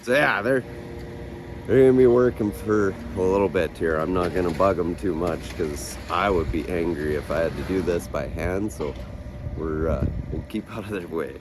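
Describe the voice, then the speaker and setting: Hoarse low-voiced man vlogging. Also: moderate pace, background noise. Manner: relaxed, rambling, trailing off with fillers. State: hoarse, raspy voice. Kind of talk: casual vlog chat. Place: garage shop, partly outdoors.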